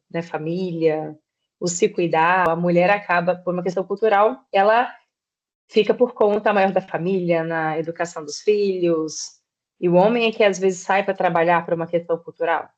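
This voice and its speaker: A woman in her thirties from southeastern Brazil, a podcast guest explaining her work in a measured way. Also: lowish voice, a little mellow, dull audio. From Espírito Santo, Brazil. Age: 30s. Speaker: guest, personal trainer. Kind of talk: podcast interview.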